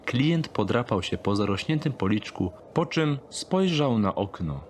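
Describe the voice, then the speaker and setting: A man reading scripted third-person narration for a dark thriller audiobook. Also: mid-range voice, very animated, unhurried, slight background noise.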